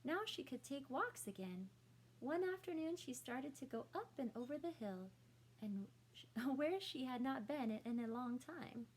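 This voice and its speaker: A woman's voice: sits high, expressive, normal speed, slightly rough, low-quality recording. A woman teacher reading a picture book aloud to children, adding explanatory asides and questions for young listeners.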